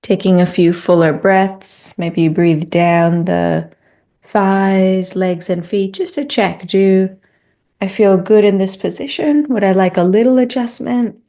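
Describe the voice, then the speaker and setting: A woman leading a guided meditation in a gentle, soothing, unhurried manner. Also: mid-range voice, steady tone.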